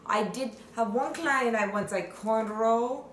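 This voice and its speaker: A woman's voice: fairly high pitch, a little slow, a little hiss or hum, natural voice. A woman playing a scheming foreign caregiver, speaking broken English in a sly, sing-song way in an improvised comedy sketch. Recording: roomy echo.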